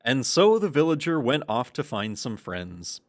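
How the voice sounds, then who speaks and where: A man's voice: mid-range voice, big pitch swings, a little slow. A man narrating a children's puppet show in a scripted storytelling style.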